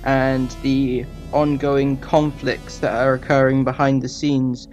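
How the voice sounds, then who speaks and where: A man giving an informal review commentary as a reviewer, in a conversational, somewhat hesitant and measured manner. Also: medium pitch, level tone, highs cut off, soft background music.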